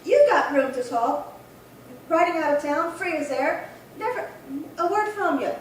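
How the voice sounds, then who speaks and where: High, anxious frontier woman played by an actress. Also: expressive, a little slow. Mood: defiant, curt. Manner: pleading, urgent, plainspoken. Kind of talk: old-time western radio acting. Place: little theatre stage, distant mic. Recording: very echoey hall, far from mic, faint noise.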